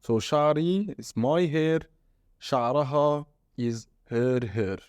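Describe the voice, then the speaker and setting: A man's voice: medium pitch, measured pace, darkish tone, smooth, dry recording. A man from Egypt with an Egyptian Arabic accent, a native Arabic speaker, teaching his dialect step by step and asking guiding questions. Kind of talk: casual language lesson.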